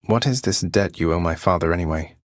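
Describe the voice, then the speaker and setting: Man acting as young rebellious underworld prince, video game character, low pitch, dry studio sound, earnest, wry, polite understatement. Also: fairly quick, dry recording, natural voice. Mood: subdued, earnest.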